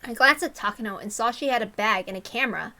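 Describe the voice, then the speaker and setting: A woman's voice: a little high, quick pace, bright, forceful tone. A woman reading a story aloud expressively, breaking into casual, self-interrupting asides. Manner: self-interrupting, openly flustered over mistakes.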